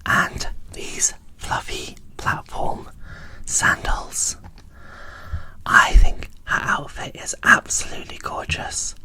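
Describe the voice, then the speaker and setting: A woman whispering in a breathy, barely voiced ASMR style, presenting dolls with gentle, deliberate phrasing into a close microphone. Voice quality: breathy whisper with little voicing. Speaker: doll collector presenting an unboxing.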